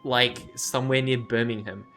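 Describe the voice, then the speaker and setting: A man's voice: medium pitch, fairly even intonation, moderate pace, a bit bright, faint music behind. A man streaming a game, chatting casually in a sweary, argumentative, teasing way. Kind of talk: casual banter while livestreaming a game.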